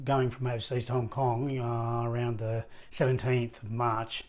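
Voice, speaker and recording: A man's voice: mid-range voice, little pitch movement, moderate pace, a little mellow, dry recording. A man with an Australian accent chatting casually to viewers as a vlog host, in an easygoing, rambling way. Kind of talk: casual chat to camera. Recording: slightly dull lo-fi audio.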